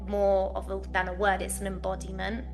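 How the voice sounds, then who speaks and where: A woman's voice: mid-range voice, completely flat delivery, a little fast, vocal fry. Earnest woman hosting, music behind. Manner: earnest, effusive, searching for words. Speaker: podcast host. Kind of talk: heartfelt conversational interview.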